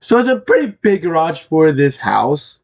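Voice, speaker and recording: A young man with a hoarse, worn-out voice, chatting casually as he walks viewers through a house in a video game, with many fillers and throat clearings. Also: a little high, very animated, moderate pace, muffled recording. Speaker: gaming video host. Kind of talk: casual game walkthrough commentary.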